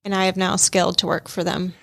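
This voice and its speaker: A woman's voice: lowish voice, fast, vocal fry, no echo, clean studio sound. A young woman from Minnesota, raised in Georgia, with a mix of Midwestern and Southern accent, chatting as a radio interview guest and telling stories with easy laughs. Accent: mixed Midwestern and Southern US. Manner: chatty, animated storyteller who laughs easily. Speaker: guest, hospitality and events manager.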